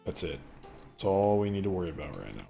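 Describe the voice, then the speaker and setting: Deep-voiced rambling man. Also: sing-song, fast talker, gravelly, mellow, faint music behind. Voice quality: deeper voice after an illness. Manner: rambling, chatty, thinks aloud. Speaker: gaming video host. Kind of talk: casual let's play commentary.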